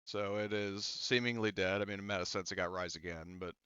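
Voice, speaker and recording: A man running a tabletop game as game master, pausing often with ums while he counts dice and tracks the turn. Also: deep voice, fairly even intonation, pushed and bright, clean tone, no echo, muffled recording. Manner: halting, many ums, counts dice aloud.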